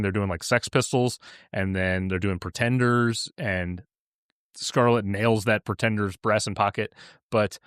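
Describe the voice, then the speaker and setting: A man in his thirties with a deep voice, a guest talking in casual chat. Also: no echo, clean studio sound. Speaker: podcast guest.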